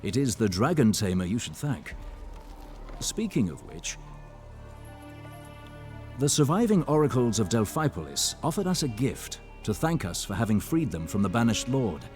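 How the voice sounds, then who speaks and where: A man's voice: mid-range voice, big pitch swings, moderate pace, long pauses, a little mellow, faint music behind, natural voice. An actor, a man, playing an earnest, courteous leader in a fantasy video game, with formal speech. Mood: grateful, formal. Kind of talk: video game cutscene acting.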